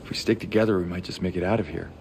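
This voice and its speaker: An actor playing a troubled, gentle young man in a video game drama, with a hesitant, concerned, soft-spoken delivery. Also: low-pitched, steady tone, very fast, background noise. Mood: cautious, concerned. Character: troubled, gentle man searching a haunted town.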